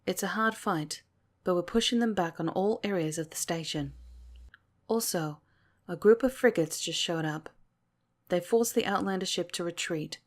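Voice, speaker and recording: A woman playing a commanding alien station captain in an ASMR audio roleplay, authoritative and measured. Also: fairly low pitch, natural voice. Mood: composed.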